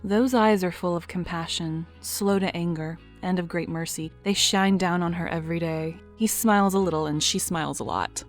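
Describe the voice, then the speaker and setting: A woman narrating an audio drama in a soft, storybook manner. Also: lowish voice, fairly even intonation, moderate pace, hardly any pauses, soft background music.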